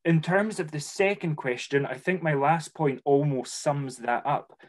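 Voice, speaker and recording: A man speaking as an academic panelist on a video call, modest and halting, often repeating words. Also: a little high.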